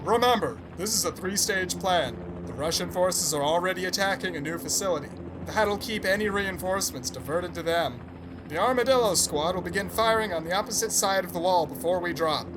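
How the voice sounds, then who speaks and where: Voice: medium pitch, lively intonation, fairly quick, noisy background, lightly acted. An actor playing a pompous, vain squad commander in an audio drama, speaking in a grandiose, commanding way. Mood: briskly commanding. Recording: music behind the voice.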